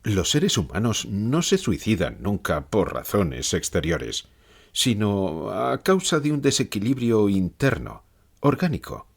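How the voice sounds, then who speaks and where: A man playing a dead Romanian philosopher who gives slow, solemn and dryly weary answers in a dramatized interview. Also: fairly low pitch, sing-song, gravelly, breathy edge, full character voice. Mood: grave, anguished.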